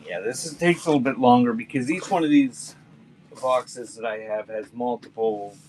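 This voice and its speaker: A man's voice: mid-range voice, moderate pace, a little mellow, low-quality recording. A man hosting a casual live sale, rambling and joking wryly with the chat between reading out items. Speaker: live auction seller, show host. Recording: clear background noise.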